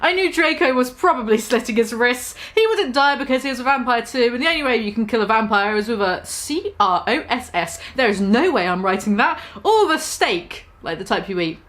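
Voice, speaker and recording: A woman with a high, very animated voice, reading a story in a mock-dramatic way. She breaks off often into sarcastic, laughing asides. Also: a little fast, hardly any pauses. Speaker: comedic reader.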